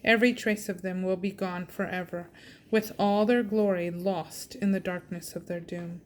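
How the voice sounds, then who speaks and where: A woman reading scripture aloud with measured, deliberate delivery. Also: fairly low pitch, fairly even intonation, slight background noise. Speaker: reader and reading coach.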